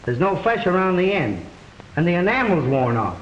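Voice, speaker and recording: An actor playing a brash, wisecracking, exasperated police detective in the style of an old mystery film. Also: male voice, high voice, big pitch swings, normal speed, lo-fi sound. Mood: urgent, explaining. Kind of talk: 1930s-40s mystery film acting. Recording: noisy vintage mystery film soundtrack.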